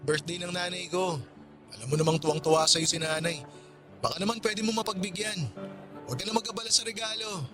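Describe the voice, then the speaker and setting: A man's voice: sits high, steady tone, brisk, over music, lightly acted. An actor playing a friendly, polite male friend in a radio drama. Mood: friendly, apologetic. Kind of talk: Tagalog radio drama acting.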